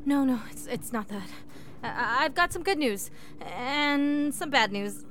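A woman's voice: high-pitched, lively intonation, measured pace, fairly bright tone, slight background noise. A woman acting in an audio drama as a resourceful, reassuring hiker who rambles and swears under pressure. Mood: anxious. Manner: naturalistic, hesitant rambling.

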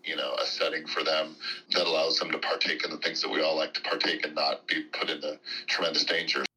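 A man's voice: extremely low pitch, level tone, brisk, pushed and bright, faint music behind, rough recording. An American man answering interview questions off the cuff as an official, hedging and often saying "you know". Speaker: school district official being interviewed.

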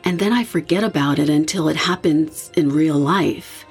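A woman's voice: low voice, moderate pace, breathy edge, faint music behind, exaggerated voice. A woman playing a young partygoer who is reflective and a little uneasy, in an audio horror drama. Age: young adult. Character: young woman partygoer, uneasy and open about eerie feelings.

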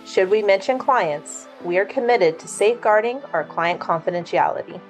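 A woman reading a scripted podcast intro in a measured, deliberate way. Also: mid-range voice, animated. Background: faint music.

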